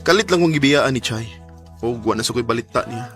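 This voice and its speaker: An actor playing a remorseful, unfaithful young boyfriend in a Bisaya radio drama, with a Cebuano Filipino accent and a stammering, dramatic delivery. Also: male voice, mid-range voice, fairly quick, a bit bright, faint music behind, natural voice. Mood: regretful.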